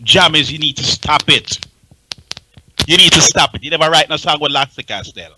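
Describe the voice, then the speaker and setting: A man's voice: a little high, normal speed, noisy background. An impassioned man with a Jamaican accent speaking emphatically and repeating himself in a heated radio discussion. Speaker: talk show panelist.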